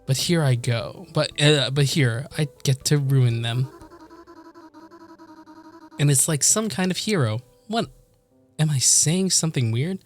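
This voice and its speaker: Visual novel role: cocky, teasing girl read by a man, medium male-range pitch, bantering, faint music behind. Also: measured pace, long pauses, natural voice. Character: teasing, boastful, playful anime game girl. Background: game music.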